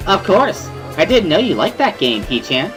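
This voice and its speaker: A woman voicing a bubbly, excitable, teasing teenage schoolgirl in an amateur visual novel reading. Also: fairly low pitch, moderate pace, faint music behind. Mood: cheerful, teasing. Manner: playful, exclamatory, repeats words, laughs loudly.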